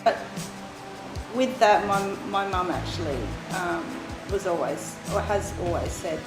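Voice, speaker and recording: A woman's voice: on the low side, measured pace. A woman giving a casual interview answer. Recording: roomy voice under loud music.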